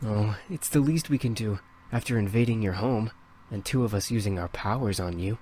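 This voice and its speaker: A man voicing a calm, soft-spoken young male incubus in a visual novel game. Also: on the low side, fairly quick, a little hiss or hum, close to an everyday voice. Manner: quiet, soft-spoken, hesitant. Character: calm gentle young male incubus with mind-reading powers.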